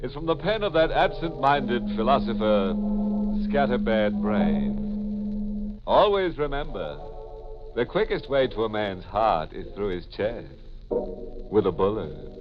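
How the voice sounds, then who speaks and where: Deep, slow, ghoulish horror host. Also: male voice, very animated, over loud music. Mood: gleeful, macabre. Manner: mock-solemn, macabre punning. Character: ghoulish, gleefully sardonic male horror-show host. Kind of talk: 1940s radio horror hosting. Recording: muffled old 1940s radio recording.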